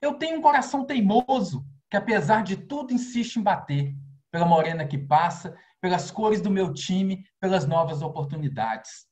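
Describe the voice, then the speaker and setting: Brazilian poet reciting expressively. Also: male voice, moderate pace. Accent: Brazilian Portuguese. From Minas Gerais, Brazil. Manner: expressive recitation, rising pitch on key lines. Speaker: poet and novelist. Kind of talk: poetry reading.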